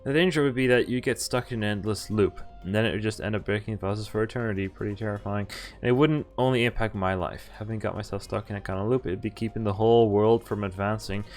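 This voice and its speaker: Low-pitched young man streaming a visual novel. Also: fast talker, a little mellow. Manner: informal, stumbles and rereads while sight-reading. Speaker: game streamer. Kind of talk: live let's play, reading game text aloud with casual commentary. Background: faint game music.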